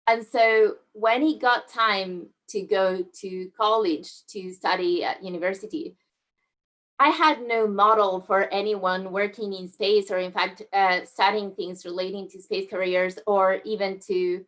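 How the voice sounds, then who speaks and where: A woman's voice: medium pitch, fairly bright tone. Woman from southern Spain giving a talk. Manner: friendly, measured, with frequent fillers. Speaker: guest speaker. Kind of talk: presenting a talk over slides. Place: online video call.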